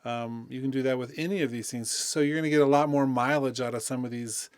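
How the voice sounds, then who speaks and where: A man hosts an informal software demonstration in a mid-pitched voice. He talks conversationally, working things out aloud as he goes. The sound is dry, with hardly any room. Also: fairly even intonation, quick pace, clear, resonant tone, dry recording. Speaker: music software tutorial host. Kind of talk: casual unscripted software demonstration.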